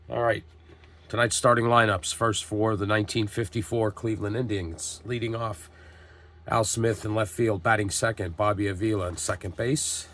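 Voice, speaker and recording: Low-voiced man calling a dice baseball game. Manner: steady, reads lineups and calls plays like a broadcaster. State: getting over a cold, voice strained. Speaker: tabletop baseball game host. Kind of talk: play-by-play narration of a dice game.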